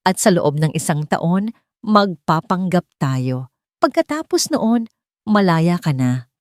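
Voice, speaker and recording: A woman voicing a wealthy, determined young man who stays steady and unshaken, in a storybook drama. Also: high voice, takes it slowly, no room sound, studio quality, heavily acted.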